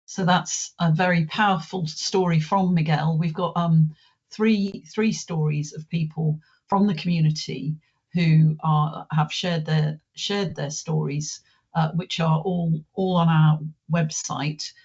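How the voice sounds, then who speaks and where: A presenter leading an online webinar, speaking in a halting way with many pauses and restarts. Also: mid-range voice, steady tone, a little mellow, breathy edge. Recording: muffled.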